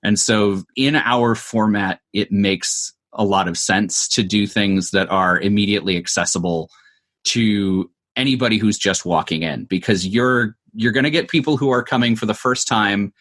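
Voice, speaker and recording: A man speaking as an interview guest, explaining creative work in articulate, measured phrases with considered pauses. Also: lowish voice, fairly bright tone. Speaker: interview guest, comedy show writer.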